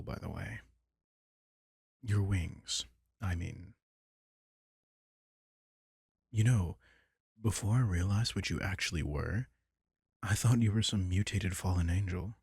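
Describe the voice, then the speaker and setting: A man playing a caring, wry vampire king in an intimate comfort roleplay audio drama, talking casually and softly with dry teasing. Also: deep voice, creaky, husky, no room sound, exaggerated voice. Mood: playful teasing.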